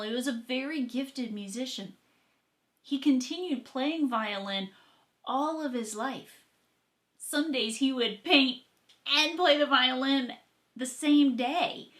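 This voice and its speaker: Slow-paced, high-voiced woman teaching children. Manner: expressive, deliberate, addressing young children. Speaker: children's art teacher and host. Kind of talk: children's lesson and storybook read-aloud.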